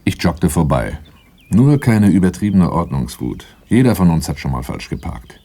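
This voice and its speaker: Very deep, mellow crime narrator. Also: male voice, big pitch swings, moderate pace, exaggerated voice. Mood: watchful. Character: self-assured male narrator, watchful and brooding. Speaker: actor narrating a radio play. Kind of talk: first-person scripted narration in a crime radio play.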